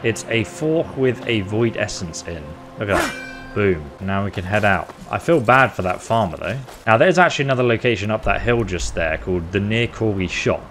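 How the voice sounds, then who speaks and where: Man, low voice, gaming host, casual chatty commentary, playful, music clearly behind. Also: animated, normal speed. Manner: chatty, playful, reacting aloud to the game. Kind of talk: casual game playthrough commentary.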